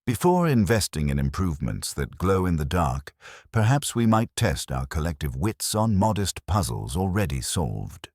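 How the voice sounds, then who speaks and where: Man voicing a deep, courteous police inspector. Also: sing-song, moderate pace, dry recording, clean studio sound, exaggerated voice. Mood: mild, formal. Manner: formal, conversational, quietly ironic. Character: mild, shrewd, courteous male police inspector. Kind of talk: storybook mystery character dialogue.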